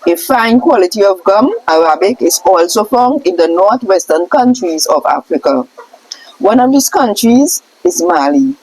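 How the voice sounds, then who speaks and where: Woman reading aloud, lively pitch swings. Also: moderate pace, breathy edge, poor audio. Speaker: reader of a scroll text. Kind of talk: reading aloud a scripted question-and-answer lesson.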